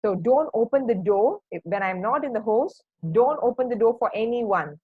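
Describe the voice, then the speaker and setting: A woman's voice: a little high, lively intonation, normal speed, slightly rough, dry recording, phone-quality sound. A woman voicing a caring, cautious mother sheep who earnestly admonishes her young. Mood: concerned.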